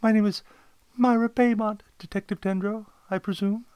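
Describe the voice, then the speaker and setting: A man's voice: sits high, fairly even intonation, normal speed, darkish tone, smooth, no echo, pitched above the performer's own voice, lightly acted. A man voicing a genteel, anxious woman client in a detective story. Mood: polite, inquiring.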